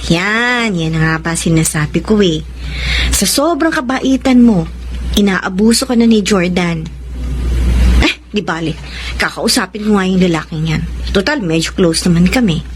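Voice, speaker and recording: An actress playing a blunt, protective younger sister in a radio drama, chiding and expressive. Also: female voice, medium pitch, moderate pace, background noise, close to an everyday voice. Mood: reproachful. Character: outspoken, protective younger sister of a village family.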